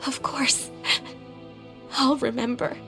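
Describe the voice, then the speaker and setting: An actress playing a timid, earnest young girl in a video game, speaking in a halting, hesitant way. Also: high-pitched, fairly even intonation. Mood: subdued. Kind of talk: scripted video game voice acting. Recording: prominent music behind the voice.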